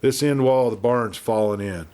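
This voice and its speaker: Western audiobook heavy, played by a male narrator: big, brooding, thick deep voice, calm menace, rustic talk. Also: normal speed, lightly acted. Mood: calm scorn.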